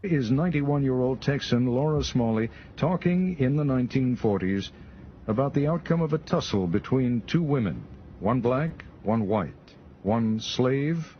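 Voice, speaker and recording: Guy narrating a documentary, scripted read, lo-fi sound w a bit of hiss. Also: mid-range voice. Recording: dull archival clip with faint noise.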